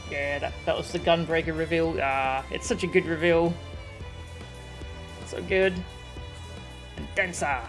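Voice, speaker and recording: A woman's voice: low voice, steady tone, unhurried, pauses often, faint music behind. A woman streamer giving casual, enthusiastic reaction commentary on a game. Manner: enthusiastic, admiring asides.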